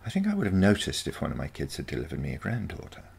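A man's voice: deep voice, huge pitch swings, very fast, creaky, darkish tone, breathy edge, exaggerated voice. A man voicing a genteel, haughty grandmother with a wry, sarcastic manner in a storybook reading.

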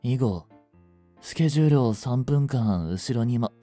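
A man playing a polite, devoted android servant overcome by feverish love in a Japanese romantic audio drama, speaking formally in breathy, halting phrases. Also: mid-range voice, animated, warm tone, soft background music, close to an everyday voice. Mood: polite, flat.

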